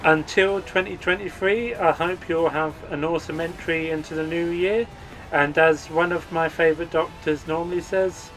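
Earnest man, slightly high voice. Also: fairly even intonation, normal speed, fairly bright tone, soft background music, rough recording. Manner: earnest, upbeat. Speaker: gaming channel host. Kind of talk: scripted channel update.

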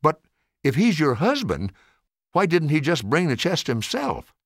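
An older man voicing an earnest young girl in a storybook, halting and sincere. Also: a little high, wildly swooping pitch, creaky, no echo, studio quality, pitched above the performer's own voice, exaggerated voice. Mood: puzzled.

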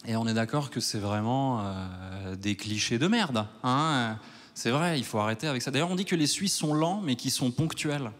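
A man in his thirties from Switzerland doing a stand-up set on a large theater stage with a handheld mic. He talks in a wry, self-mocking way and works up to loud mock outrage. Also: medium pitch, normal speed. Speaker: stand-up comedian. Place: large theater stage before a live audience.

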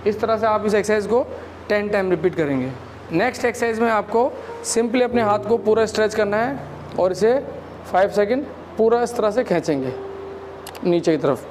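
A man in his thirties, a physiotherapist, calmly explaining exercises step by step in a clinic room, on a lapel mic. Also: sits high, moderate pace, slight background noise. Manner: calm, instructive, explains step by step.

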